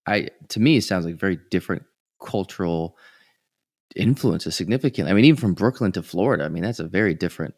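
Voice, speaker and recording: Gravelly, deep-voiced male podcast host. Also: expressive, no echo, clean studio sound. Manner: halting, restarts often, affirming. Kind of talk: interview questions, casual chat.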